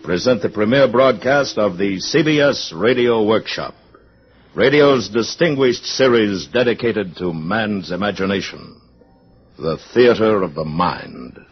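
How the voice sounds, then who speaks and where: An American man announces in stately 1950s network style, with a lowish, slightly rough voice and dramatic formality. It is a muffled old broadcast with music behind him. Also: animated, a little slow. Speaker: radio announcer.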